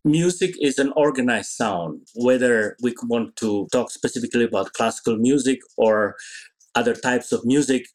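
A middle-aged man from Indonesia, an orchestra conductor, answering interview questions thoughtfully and pausing often to find his words. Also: medium pitch. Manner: thoughtful, searching for words, many pauses.

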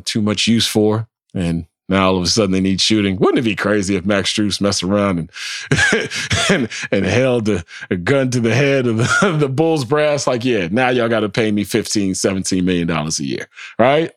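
A man hosting a podcast, talking sports in a casual, animated way, swearing freely and often repeating words. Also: mid-range voice, no room sound, clean studio sound. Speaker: podcast host.

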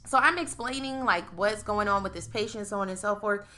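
Bright-voiced animated woman storyteller. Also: medium pitch, brisk. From United States. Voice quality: strong voice. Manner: animated storyteller, acts out phone calls. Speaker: podcast co-host. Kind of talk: casual chat.

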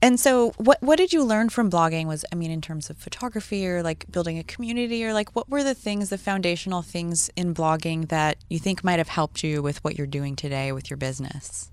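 A woman's voice: lowish voice, a little fast, hardly any pauses, clean tone. A woman hosting an interview, chatty, asking long rambling questions. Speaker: interviewer, host.

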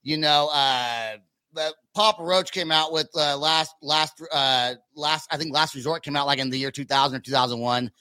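A high-pitched, bright male voice in his late thirties, recorded very dry. He hosts in a brash, casual way, full of bro slang and swearing. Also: moderate pace, clean tone, no room sound. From California. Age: late 30s. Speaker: podcast host. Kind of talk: casual chat.

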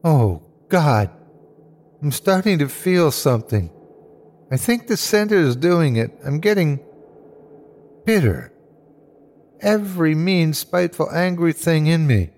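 A man voicing an anguished dying man in a horror story, his voice strained and halting as he speaks in short bursts. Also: on the high side, very animated, a little mellow, voice pushed up. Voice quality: strained, sometimes whiny or growling. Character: dying man, frightened, awed, turning bitter and hateful. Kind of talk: dramatic horror story reading.

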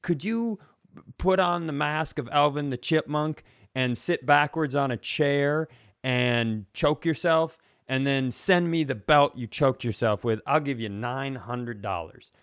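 Male comedy podcast host, medium pitch, animated storyteller who performs quotes, very dry room sound. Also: a little slow, clean tone, no room sound. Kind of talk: casual comedic chat.

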